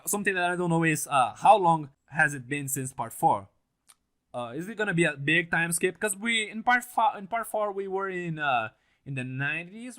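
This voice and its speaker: A young man whose first language is Portuguese, with a slightly higher, keen and bright-edged voice. He chats casually to camera, swearing, laughing and restarting his sentences in his hype. The sound comes from a stand mic set a little back in a small home setup. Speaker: anime reaction channel host. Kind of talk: casual reaction commentary to camera. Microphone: mic on a stand, set a bit farther than usual.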